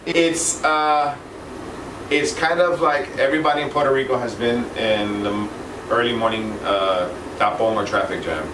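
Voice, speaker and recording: A man's voice: medium pitch, steady tone, moderate pace. A middle-aged man casually explaining his job as an air traffic controller in an interview. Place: small office indoors. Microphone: camera mic at a distance. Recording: echoey room, distant mic, air-conditioning noise.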